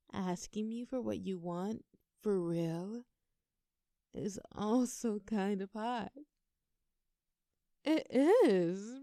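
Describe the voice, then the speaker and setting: Teasing, creaky-voiced girlfriend, acted by a woman. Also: mid-range voice, dark tone, no echo, studio quality. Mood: tender, encouraging.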